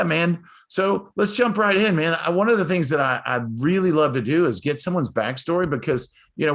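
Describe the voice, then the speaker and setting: A man's voice: medium pitch, gravelly. A man hosting a live interview show, talking in a rambling, enthusiastic way with many fillers and restarts. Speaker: host.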